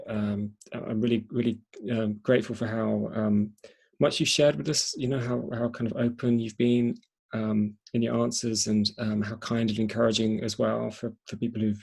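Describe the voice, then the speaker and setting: A man with a creaky, husky voice chairs an online panel. He is appreciative and hesitant, cushioning what he says as he goes. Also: medium pitch. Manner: appreciative, hesitant, softens with hedges. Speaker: host, event chair. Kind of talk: hosting an online panel event.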